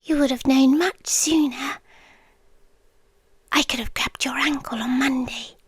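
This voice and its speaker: An obsessive British yandere girlfriend, acted by a woman, high-voiced and breathy, slipping between whisper and soft speech. She speaks very slowly and possessively, right up close in a dry, intimate ASMR recording. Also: fairly even intonation, no room sound, heavily acted. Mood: possessive, soft menace. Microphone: close, dry microphone.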